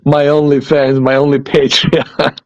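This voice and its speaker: A man's voice: medium pitch, monotone, unhurried, no room sound, dull audio. A man hosting a casual stream and podcast, laughing often and swearing freely. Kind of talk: casual chat.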